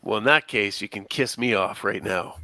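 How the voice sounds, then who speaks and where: A man reading the part of an anxious, defensive teenage high-school rocker at a script table read. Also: normal speed, gravelly, bright, forceful tone. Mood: self-doubting.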